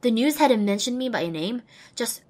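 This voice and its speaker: A woman's voice: on the high side, expressive, normal speed, a little mellow. A woman playing a small, anxious outcast pony mare who tells her own story expressively and introspectively in an audio drama. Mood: wry, dejected. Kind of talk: first-person dramatic audiobook narration.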